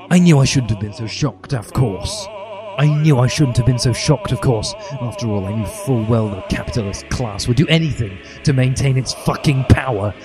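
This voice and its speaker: Male reader, medium pitch, mock-dramatic narration of comic fan fiction, emphatic, music underneath. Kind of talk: mock-earnest dramatic story reading with character voices.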